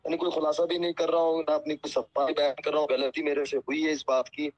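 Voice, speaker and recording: A man's voice: fairly high pitch, level tone, fast. A deferential, apologetic man speaking earnestly and piously as a cleric in a phone conversation, heard over a muffled call recording. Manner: pious, peppered with religious phrases. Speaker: Islamic cleric.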